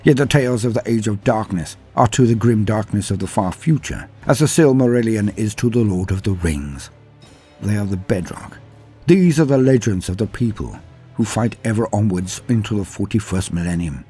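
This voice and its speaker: Male narrator, low and raspy, grandiose dramatic storyteller for scripted fantasy lore. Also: expressive, moderate pace, warm tone, faint music behind. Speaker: narrator and presenter of a lore channel.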